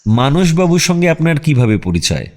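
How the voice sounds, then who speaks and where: A man playing a self-assured, wry detective in a radio drama, polite and probing with deadpan teasing. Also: a little high, moderate pace. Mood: courteous, probing.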